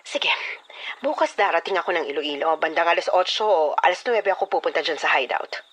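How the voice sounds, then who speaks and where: A woman's voice: lowish voice, animated, fairly quick, fairly bright tone, slightly rough. A woman playing an imperious, taunting villainess in a theatrical horror audio drama. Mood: insistent, wary. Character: scheming female ransom mastermind, and a mocking, fearless old grandmother.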